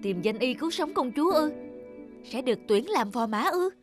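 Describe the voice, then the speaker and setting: A woman's voice: sits high, sing-song, moderate pace, soft background music, pitched above the performer's own voice. A woman voicing a kindly, humble young male scholar in a cartoon folk tale, speaking politely and earnestly. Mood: surprised.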